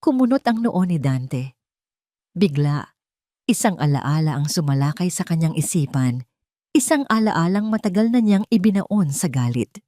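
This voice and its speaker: A woman's voice: lowish voice, big pitch swings, moderate pace, warm tone, no room sound, clean studio sound. A woman narrating a scripted love story in a dramatic storytelling style.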